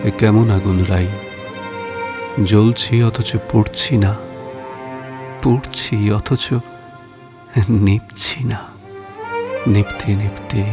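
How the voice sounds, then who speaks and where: A man playing a romantic, playful poet in an audio drama, with lyrical, expressive phrasing. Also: fairly low pitch, vocal fry. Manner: lyrical, drawn-out phrasing, teasing. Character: romantic male poet, tender, playful, mock-aggrieved lover. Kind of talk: Bengali audio drama with poetry recitation. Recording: music under the voice. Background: background music.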